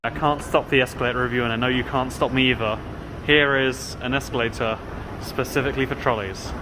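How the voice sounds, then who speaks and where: A man's voice: mid-range voice, fairly even intonation, fairly quick. A man vlogging through city streets and stations, making wry jokes to the camera on his phone. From England. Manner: wry, joking asides to the camera. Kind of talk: casual travel vlog commentary. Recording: phone recording with street noise.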